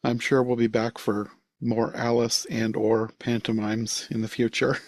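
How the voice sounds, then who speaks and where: A man's voice: medium pitch, little pitch movement, unhurried, a little mellow, no echo. A man hosting a podcast in a casual, conversational style. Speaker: podcast host.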